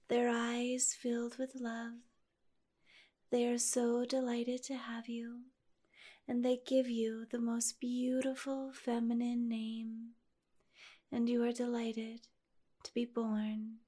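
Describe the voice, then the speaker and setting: Slow, hushed woman guiding a meditation. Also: fairly high pitch. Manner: slow, gentle, hushed, with breathy phrase endings. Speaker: guided meditation host. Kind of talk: soothing guided meditation storytelling.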